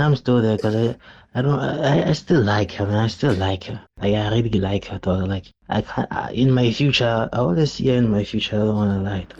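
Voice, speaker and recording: A man with a lisp, sending a hesitant, halting voice note to a podcast. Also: medium pitch, a little mellow. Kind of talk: recorded voice note. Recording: lo-fi phone voice note.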